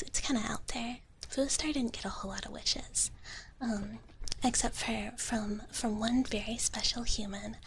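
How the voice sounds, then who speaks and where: A woman softly whispering close to the mic as a sweet, playful young dragon big sister in live ASMR roleplay, hesitant and bubbly. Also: a little high, slight background noise, low-quality recording. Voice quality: soft, breathy whisper. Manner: sweet, hesitant, bubbly, speaks of herself in third person. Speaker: livestream host. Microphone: close ASMR microphone.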